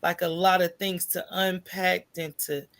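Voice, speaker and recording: A woman's voice: lowish voice, unhurried. An American woman talking candidly as a podcast guest, acting out remembered dialogue and checking in with her listener as she goes. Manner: animated, acts out remembered dialogue, often asks "right?". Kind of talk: candid conversational storytelling.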